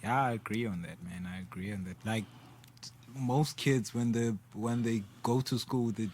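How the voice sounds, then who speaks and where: A man speaking as a guest in a casual talk, laid-back and halting, mixing in isiXhosa. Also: on the low side, steady tone, a little mellow, a little hiss or hum. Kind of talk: casual conversation.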